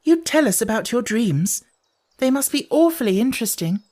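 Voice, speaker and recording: A woman's voice: on the high side, big pitch swings, moderate pace, warm tone, voice pushed up. A woman voicing a polite, eager young girl in a storybook, in a well-mannered way.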